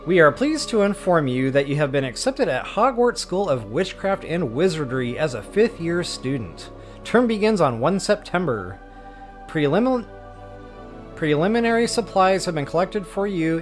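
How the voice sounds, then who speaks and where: A man's voice: fairly high pitch, very animated, normal speed, faint music behind. A man hosting a game stream, chatting casually to viewers in an informal, self-deprecating, rambling way. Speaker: host of a video game walkthrough stream.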